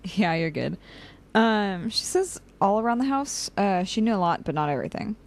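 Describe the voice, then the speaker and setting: A young woman playing a dry, cynical, practical operative in an audio drama, with a deadpan, sardonic way of talking. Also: mid-range voice, normal speed, natural voice. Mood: dry, bantering. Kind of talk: audio drama dialogue and log entries.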